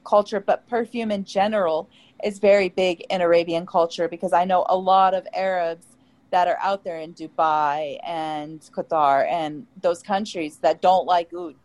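A woman's voice: fairly low pitch, fairly even intonation, moderate pace, a little mellow. A woman in a casual group conversation who speaks in a matter-of-fact way.

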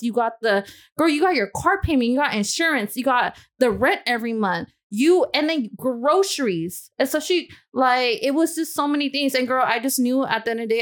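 A woman in her twenties in casual podcast chat, slangy and sweary, repeating herself emphatically as she vents. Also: on the high side. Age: 20s. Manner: slangy, sweary, animated venting with repetition.

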